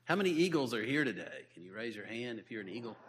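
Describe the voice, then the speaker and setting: A man's voice: medium pitch, sing-song, fast talker, heavy background noise. A man, the pastor, leading a memorial service and inviting people to come up and speak in short prompts.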